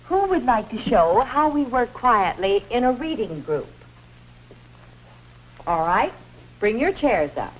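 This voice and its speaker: A woman's voice: a little high, big pitch swings, slow, long pauses, lo-fi sound. A woman playing a kindly, orderly primary school teacher in a 1950s educational film, patiently instructing young children. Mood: encouraging, instructive. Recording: noisy, band-limited 1950s film soundtrack.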